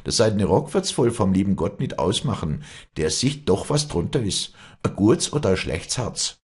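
A man's voice: on the low side, very animated, normal speed, darkish tone, breathy edge, voice pushed up, full character voice. A man voicing a poor, honest young maidservant, agitated and indignant, pleading in Alpine Bavarian dialect in a storybook reading. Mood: trembling reproach.

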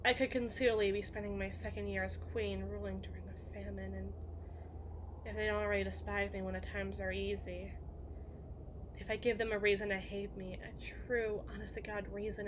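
A woman's voice: medium pitch, fairly even intonation, moderate pace, fairly bright tone, natural voice. Woman playing a brooding evil queen, noisy ambience. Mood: hesitant, worried. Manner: formal, literary, introspective phrasing. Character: melancholy evil queen, guilt-ridden, brooding, confiding in beloved shepherd. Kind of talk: ASMR roleplay monologue.

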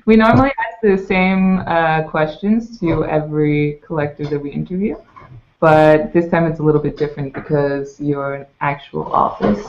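A woman's voice: sits low, normal speed, darkish tone. A woman co-hosting a casual video-call interview, light and hesitant. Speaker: co-host, interviewer. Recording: muffled video-call audio.

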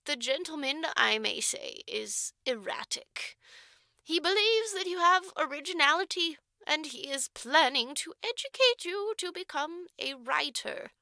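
A stern orphanage matron in an audiobook story, acted out by a woman, pompous and officious. Her voice is very high and bright, with emphatic, formal oratory in a dry recording. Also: creaky, no echo, full character voice.

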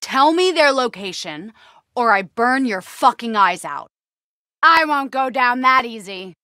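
Fierce, bright-voiced superheroine. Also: female voice, a little high, lively intonation, unhurried, no echo, clean studio sound. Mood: determined. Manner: tough, determined. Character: fierce protective young superheroine.

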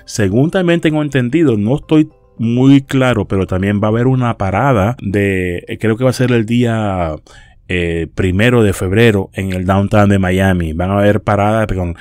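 A man's voice: lowish voice, moderate pace, a little mellow, no echo, clean studio sound, soft background music. A man hosting a casual vlog, chatty and friendly, calling his viewers friends and often restarting phrases. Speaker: YouTube host giving rideshare and delivery tips. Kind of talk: casual informative vlog talk over stock footage. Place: lives in South Florida.

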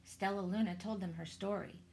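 A woman, reading a children's story aloud in a dramatic, deliberate way. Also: medium pitch, steady tone, vocal fry, a little mellow. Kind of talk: expressive children's storybook narration. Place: small indoor room with shelves.

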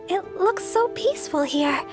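A woman voicing a sweet, earnest, selfless young church deaconess in an anime-style video game, speaking gently and politely. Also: very high voice, full character voice. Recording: music behind the voice.

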